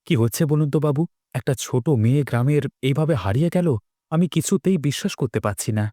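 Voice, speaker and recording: Man voicing an anxious, mellow village man. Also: fairly high pitch, brisk, no room sound, studio quality, lightly acted. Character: anxious, suspicious village man searching for a girl. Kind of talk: dubbed cartoon dialogue.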